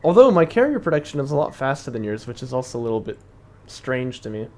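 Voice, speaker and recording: A young man streaming and explaining strategy in a casual, chatty way, thinking aloud with fillers and laughs; his voice is slightly raspy from long talking. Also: medium pitch, big pitch swings, fast talker, a little mellow. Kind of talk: casual live-stream commentary.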